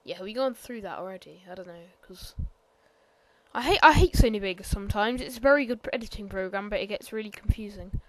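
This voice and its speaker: A woman giving a casual, rambling game tour voiceover, hesitant and full of ums and apologies, sometimes bursting out loud. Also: mid-range voice, quick pace. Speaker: gameplay commentator.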